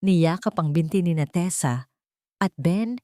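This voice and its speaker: Female narrator, lower register, dry sound. Measured, dramatic delivery of scripted love stories. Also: warm tone, no echo.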